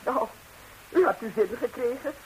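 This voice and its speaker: An actress playing a chatty, middle-aged gossiping wife with theatrical exclamations in a 1960s radio comedy. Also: female voice, a little high, expressive, normal speed, close to an everyday voice, rough recording. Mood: flustered. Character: gossiping Madrilenian wife, flustered, indignant, melodramatic. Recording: hissy old 1960s radio recording.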